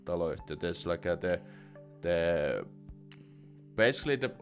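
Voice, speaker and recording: A young man with a Finnish accent speaks in a low, deep voice into a close studio mic in a small room. His talk is casual and halting, full of restarts and fillers as he works out his answers. Faint music sits under him. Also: very animated. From Finland. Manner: halting, many restarts and fillers, thinks aloud. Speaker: host answering viewer questions. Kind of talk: casual live stream Q&A, reading chat comments. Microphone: studio condenser mic with headphones.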